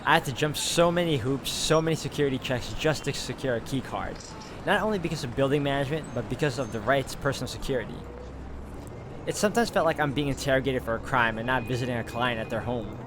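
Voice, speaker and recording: A man's voice: mid-range voice, fast talker, noisy background. A man reading a visual novel aloud and thinking through its choices, with hesitations and asides. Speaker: game streamer reading the story aloud. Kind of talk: narrating and commenting on a visual novel. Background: loud game music.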